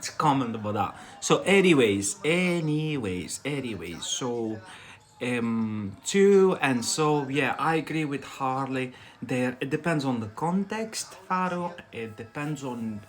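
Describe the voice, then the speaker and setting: A man's voice: medium pitch, lively intonation, takes it slowly. Chatty Algerian man hosting a live stream. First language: Algerian Arabic. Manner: chatty, rambling, laughs often, many fillers. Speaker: host of an English-learning live stream. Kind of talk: casual live-stream teaching chat.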